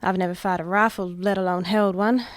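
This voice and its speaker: A woman's voice: mid-range voice, fairly even intonation, a little fast, no room sound, natural voice. A woman playing a sardonic young assistant in a western radio serial, speaking frankly and formally. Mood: frank, pleading. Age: young adult.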